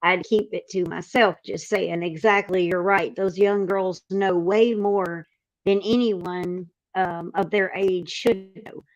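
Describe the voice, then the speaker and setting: A woman's voice: fairly low pitch, steady tone, takes it slowly, dry recording, dull audio. A woman panelist reading viewer comments aloud and responding to them. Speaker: panelist on a live discussion.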